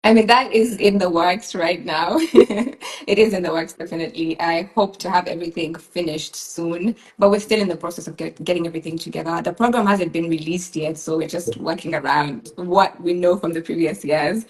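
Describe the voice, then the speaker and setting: A woman's voice: on the low side, normal speed, low-quality recording. A young woman from Uganda, a pageant contestant in her twenties, answering interview questions in a poised way and laughing often. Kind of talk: live online interview.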